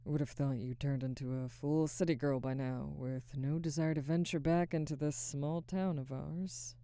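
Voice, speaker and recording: Woman playing a guarded werewolf alpha, very dark, mellow voice. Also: sits low, steady tone, dry recording. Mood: wary, curious. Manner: hesitant, soft-spoken, halting, breathy sighs. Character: guarded adult female werewolf pack alpha, awkward, burdened. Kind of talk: ASMR audio roleplay, intimate scripted monologue. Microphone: close microphone, dry.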